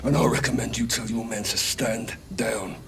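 An actor playing a hard British special forces captain in a game, with a gruff British accent and a curt, commanding manner. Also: male voice, mid-range voice, fairly even intonation, normal speed. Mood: stern. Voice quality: gruff, gravelly. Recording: very loud music and vehicle noise behind.

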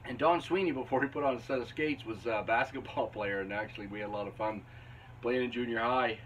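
A man's voice: medium pitch, quick pace, a bit bright, a little hiss or hum, poor audio. A man in his fifties from Canada, chatting in a folksy, easygoing way with chuckling asides as he teaches woodworking in his basement shop, picked up by a camera on the bench. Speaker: woodworking channel host. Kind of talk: casual instructional talk while working. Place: basement woodshop. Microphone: camera on a bench a few feet away.

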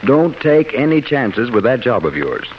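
An American man announcing an advertisement on 1940s radio in a polished, measured way. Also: mid-range voice, very animated. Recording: muffled 1940s radio recording with faint noise.

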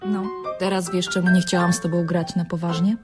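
An actress playing a young, sly, self-assured chess prodigy in a radio drama, polite and confident. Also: female voice, lowish voice, flat delivery, brisk, over music, close to an everyday voice. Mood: cool confidence.

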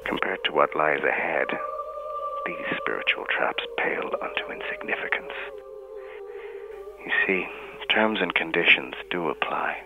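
A man's voice: extremely low pitch, creaky, over music, heavily acted. A man playing an ominous, pompous announcer, giving a grandiloquent, mock-portentous radio introduction. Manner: grandiloquent, deliberate. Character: ominous, pompous male announcer warning the listener. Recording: tinny radio-like band with hum, noise.

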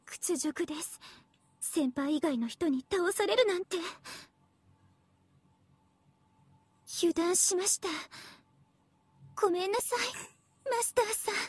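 High-pitched, smug anime schoolgirl villain. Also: animated, unhurried, long pauses, dry recording. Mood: humiliated, apologetic. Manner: cutesy, teasing, theatrical. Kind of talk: Japanese mobile game character voice lines.

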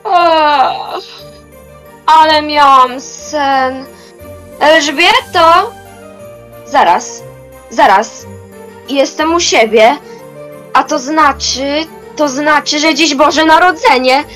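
A young woman playing an old grandmother in an amateur audio drama, in a halting, theatrical manner. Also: high-pitched, fairly bright tone, soft background music, exaggerated voice, rough recording. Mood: excited relief. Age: young adult. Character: grumpy old grandmother turned remorseful and joyful.

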